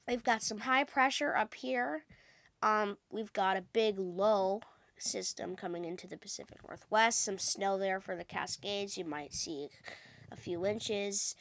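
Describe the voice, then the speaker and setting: A woman's voice: mid-range voice, creaky, a bit bright, no echo. Woman with a cold giving casual weather commentary. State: sick with a cold. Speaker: amateur weather vlogger, host. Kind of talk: casual weather forecast commentary over maps.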